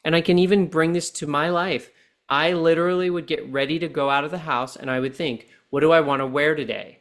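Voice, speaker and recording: A man in his forties, a podcast guest and coach, talking earnestly and slowing down to stress his points. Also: a little high, fairly even intonation, a bit bright. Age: 40s. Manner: deliberate, earnest coaching tone, slows down for key points. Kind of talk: reflective podcast conversation.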